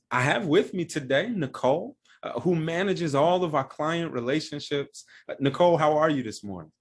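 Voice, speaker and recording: A man, a financial advisor and founder of his firm, explaining retirement planning step by step in plain words. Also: medium pitch. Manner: plain-spoken, walks through examples step by step.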